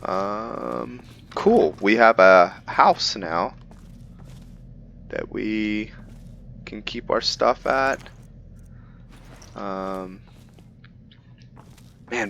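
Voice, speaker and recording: A man hosting a gaming video, musing aloud in a relaxed way as he plays and comments on what he finds. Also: lowish voice, very slow, vocal fry, long pauses, slight background noise. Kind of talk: casual game commentary.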